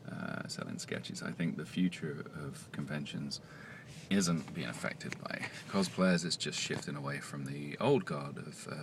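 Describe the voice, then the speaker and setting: A man who writes comics, talking quietly and hesitantly in a casual vlog monologue, with many pauses and fillers. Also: rumbling low, expressive, vocal fry, noisy background.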